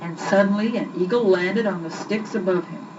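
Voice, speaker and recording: A young woman reading a children's story aloud with expressive, scripted narration, on an old amateur recording. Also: mid-range voice, moderate pace, distant mic. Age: young adult. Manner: expressive, acts out the dialogue. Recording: muffled, noisy old amateur home recording.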